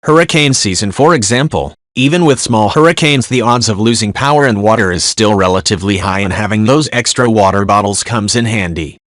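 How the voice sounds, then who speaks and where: Male narrator with a low, even read-aloud voice; dry recording. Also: brisk, hardly any pauses, no echo. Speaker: narrator reading forum posts. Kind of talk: reading forum posts aloud.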